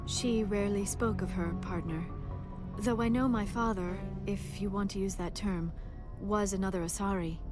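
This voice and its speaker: A woman playing a gentle, scholarly female alien in a video game, speaking in formal, measured and precise phrases. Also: medium pitch, steady tone, over music, lightly acted. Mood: wistful, reflective. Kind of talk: video game dialogue acting.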